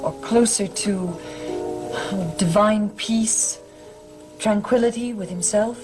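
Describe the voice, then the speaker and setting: Lady acting gentle helpful woman in vintage gothic soap, bit hesitant, medium pitch, music loud under her. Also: slow pace, natural voice. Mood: earnest, explaining.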